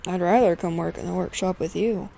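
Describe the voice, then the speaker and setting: An actress playing a sullen, worried teenage daughter in an audio drama, subdued and reproachful. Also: female voice, on the low side, steady tone, a little fast, gravelly, mellow, low-quality recording.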